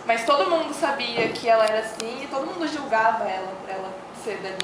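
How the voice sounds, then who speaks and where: A woman's voice: fairly high pitch, expressive, fast. Brazilian woman heard from afar, strong echo. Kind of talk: informal group discussion. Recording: very echoey, distant mic, room noise.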